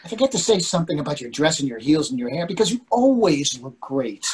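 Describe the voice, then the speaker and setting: A man's voice: on the high side, sing-song, fast, a little mellow, slightly rough, full character voice. A man in his sixties playing an anxious, devoted, fussy husband in a play reading over a video call, earnest and questioning. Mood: earnest, tender. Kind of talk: readers theatre play reading.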